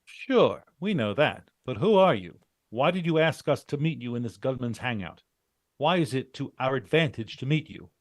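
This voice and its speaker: Actor as a brisk, animated American adventurer. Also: male voice, mid-range voice, no echo, studio quality, lightly acted. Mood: demanding. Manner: clipped, insistent questioning. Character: brisk, no-nonsense male leader of adventurers, demanding answers. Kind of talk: vintage radio drama acting.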